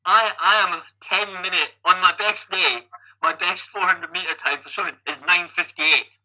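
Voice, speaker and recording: A man's voice: high voice, steady tone, normal speed, pushed and bright, low-quality recording. A Scottish man in his fifties, a guest athlete and coach, telling stories in a chatty way and often repeating the first word of a sentence. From Scotland. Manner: chatty, repeats words when starting sentences. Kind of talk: casual interview storytelling.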